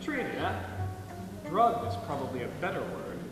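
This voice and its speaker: Young man as a cocky, animated American reporter. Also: on the high side, normal speed. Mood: mocking, sardonic. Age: young adult. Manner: sardonic, punchy. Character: cocky, sarcastic, wisecracking male newspaper reporter. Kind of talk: projected stage acting. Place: theater stage, distant camera. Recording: distant echoey hall, music behind.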